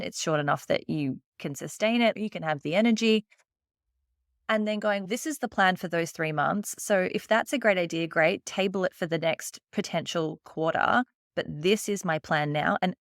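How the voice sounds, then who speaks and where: A woman's voice: sits low, vocal fry, a bit bright, dry recording, clean studio sound. An Australian woman guesting on a podcast, chatting casually and acting out her own thoughts in quotes. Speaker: podcast guest, agency owner. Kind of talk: casual interview chat.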